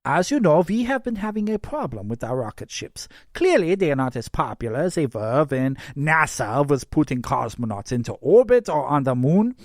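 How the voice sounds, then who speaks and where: A man's voice: a little high, very animated, moderate pace, few pauses, no room sound, clean studio sound, pitched above the performer's own voice. A man voicing a stern, humorless elf toy designer with a German accent and a formal, officious delivery in an audiobook. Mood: officious, insistent. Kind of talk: audiobook character voice.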